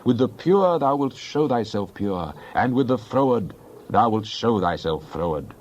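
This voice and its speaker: Low voiced guy doing dramatic bible narration, solemn and declaiming, kinda muffled lo-fi audio. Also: very animated, unhurried, a little mellow, a little hiss or hum. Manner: solemn, declamatory, with archaic scriptural diction. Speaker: scripture narrator. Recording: dull, lo-fi audio.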